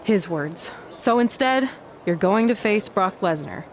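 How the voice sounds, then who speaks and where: A woman's voice: mid-range voice, lively intonation, measured pace, a little hiss or hum. An actress playing a blunt, unimpressed woman in a video game cutscene, dry and matter-of-fact.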